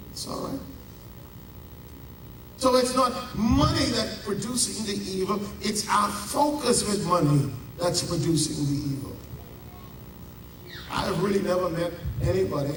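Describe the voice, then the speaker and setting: Bahamian male pastor, unhurried, heavy emphasis; distant mic, noisy hall. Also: high-pitched. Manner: preaching with dramatic pauses and drawn-out emphasis. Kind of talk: sermon. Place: large church. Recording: echoey church heard from a distance.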